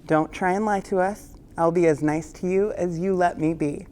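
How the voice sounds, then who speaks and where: A woman's voice: lowish voice, fairly even intonation, normal speed, warm tone, a little hiss or hum. A woman who is the school librarian, giving friendly but firm rules to students in a school announcement video.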